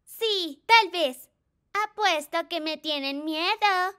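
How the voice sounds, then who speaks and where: Cartoon little girl: sweet protective big sister, very slow, reassuring delivery. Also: very high voice, bright, forceful tone, heavily acted. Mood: earnest. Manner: earnest, childlike, reassuring. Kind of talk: children's cartoon dub.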